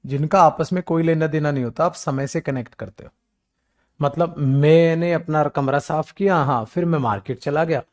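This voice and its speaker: A man lecturing on English grammar, switching between scolding and coaxing his students. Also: normal speed. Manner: animated, scolds and coaxes students affectionately, calls them beta; mimics whining students in a low weak voice. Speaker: English teacher for government exams. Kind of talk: classroom lecture, mixing English examples with Hindi explanation.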